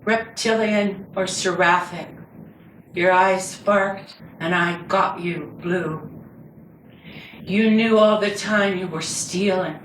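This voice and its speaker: A woman reading her own poetry aloud live, with measured, deliberate and dramatic phrasing. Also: lowish voice, fairly even intonation. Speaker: poet reading own work. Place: indoor reading before a group. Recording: roomy, distant mic with background noise.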